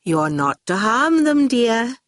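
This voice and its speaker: A woman's voice: a little high, sing-song, slow pace, no room sound, clean studio sound, voice pushed up. Woman acting a sweetly menacing, condescending authority. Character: calm, chiding authority who says dear.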